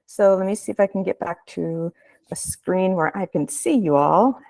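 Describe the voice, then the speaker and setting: A woman researcher giving a measured, explanatory webinar presentation over a video call. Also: fairly low pitch, gravelly, mellow, no echo.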